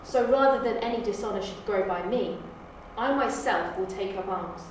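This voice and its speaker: A woman's voice: medium pitch, normal speed, lightly acted. A woman auditioning as an earnest, formal Time Lord's daughter in a dramatic performance. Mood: proud, resolute. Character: proud, anxious daughter of an alien Time Lord. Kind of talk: audition improv and dramatic monologue. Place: university room. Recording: echoey room, distant mic, faint noise.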